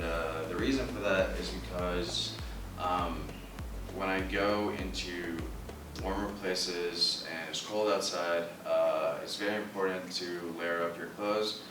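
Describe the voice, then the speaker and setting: A man's voice: deep voice, noisy background. A young man from Syria, an international student, casually giving packing advice to viewers in a friendly way. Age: young adult. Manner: friendly, advising, addresses viewers as guys. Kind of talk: casual informational video. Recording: roomy, mic at a distance, music underneath.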